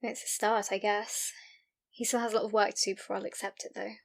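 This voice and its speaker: An actress playing a guarded but forgiving young friend in an audio drama, gentle and understated in delivery. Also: female voice, mid-range voice, little pitch movement, quick pace, vocal fry, dry recording. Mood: tentative, gentle.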